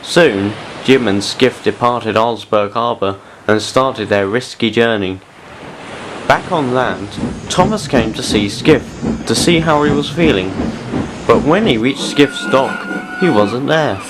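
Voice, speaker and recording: A man's voice: mid-range voice, animated, slow, background noise. A man reading scripted story narration as the narrator of an audio drama.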